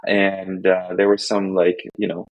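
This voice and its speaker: Low, gravelly Russian-speaking man. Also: completely flat delivery, unhurried, dry recording, narrow, tinny audio. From Estonia. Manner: rambling, many fillers and restarts. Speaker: podcast guest. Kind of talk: casual interview chat.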